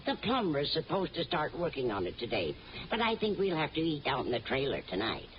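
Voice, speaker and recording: A woman's voice: low-pitched, very animated, brisk. An actress playing a scatterbrained, kindly older woman, earnest and fussy, in an old-time radio comedy. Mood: fretful. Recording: muffled old radio broadcast, faint noise.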